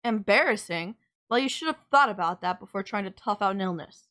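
A woman's voice: mid-range voice, animated, brisk, bright, forceful tone. A woman playing a sardonic villain nemesis in an audio roleplay, scolding and teasing the listener in an exasperated but caring way. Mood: scolding care.

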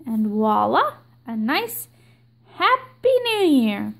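A young woman hosting her own nail art channel, explaining a stamping tutorial slowly and with many ums. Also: fairly high pitch, big pitch swings, gravelly, fairly bright tone. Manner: slow, filler-heavy explaining with many ums. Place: indoors at a table, hands filmed from above.

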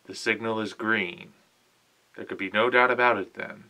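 Man playing a low, curt, impatient commander. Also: fairly even intonation, moderate pace, creaky, pushed and bright, low-quality recording. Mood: grave. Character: stern, impatient, dismissive male commander. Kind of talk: anime parody sketch.

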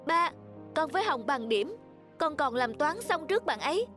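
A woman playing a proud, competitive schoolgirl in an animated cartoon. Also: sits high, moderate pace, over music. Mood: pleading, indignant.

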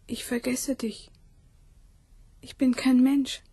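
A breathy, whispering female river spirit in an intimate radio play. She speaks very slowly and haltingly, with a mellow, fairly high voice. She sounds fearful and wistful. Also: flat delivery, no echo, full character voice. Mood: sad, wistful. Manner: halting, hushed.

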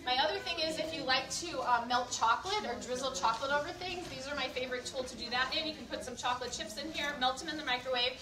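A woman's voice: high-pitched, fast, hardly any pauses. A woman giving a live kitchenware cooking demonstration to a group, chatty and instructive, often checking in with questions. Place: indoor room at a live event. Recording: roomy, distant mic, faint background noise.

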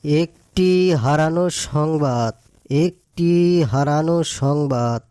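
A woman's voice: low voice, slow pace, mellow, smooth, no echo. A woman voicing a worried, caring fox father in a cartoon. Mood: solemn announcing. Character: worried, gentle fox father searching for his daughter.

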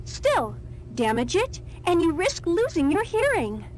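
An actress playing a prim schoolteacher doll in a horror game, lecturing in an over-sweet, recited manner. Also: female voice, very high voice, wildly swooping pitch, measured pace, a little hiss or hum, exaggerated voice. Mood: chipper, instructive. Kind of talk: horror video game educational recording.

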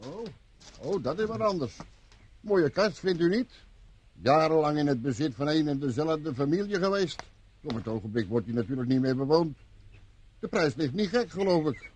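An actor in a Dutch radio play as a folksy gardener, informal and garrulous. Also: male voice, on the high side, expressive, moderate pace, darkish tone, husky. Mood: chatty. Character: chatty, folksy male gardener.

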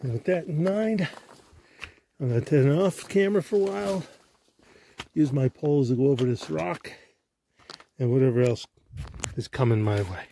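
A man's voice: mid-range voice, normal speed, creaky, long pauses, dark tone, slight background noise. A man hiking a rocky mountain trail, chatting casually to viewers as he films, with an upbeat, easygoing manner. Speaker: hiker filming his trail vlog. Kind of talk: casual walk-and-talk narration. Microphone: handheld camera while walking.

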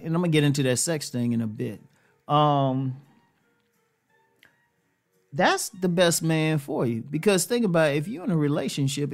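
A man's voice: fairly high pitch, vocal fry. A middle-aged American man giving casual advice straight to camera, conversational and emphatic, repeating phrases for emphasis. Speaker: relationship advice host. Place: living room, indoors.

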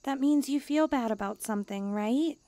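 A woman's voice: high voice, normal speed, dark tone, dry recording, close to an everyday voice. A woman playing a haughty, needy young stray catgirl, blunt and cutesy, in an ASMR comfort roleplay. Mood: smug, coaxing.

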